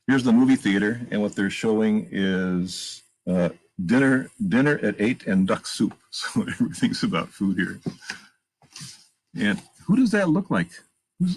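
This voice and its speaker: Storytime reader: man, gravelly voice with expressive swings, reading aloud and commenting on the pictures. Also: lowish voice, poor audio. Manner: pauses to point out pictures and ask listeners questions; animated, emphatic read-aloud delivery. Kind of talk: reading a picture book aloud with chatty asides.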